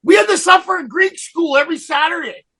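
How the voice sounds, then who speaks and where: A man co-hosting a casual interview show, excitable and prone to tripping over his words. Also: very high voice, a little fast, low-quality recording. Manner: excitable, stumbling restarts. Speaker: podcast co-host.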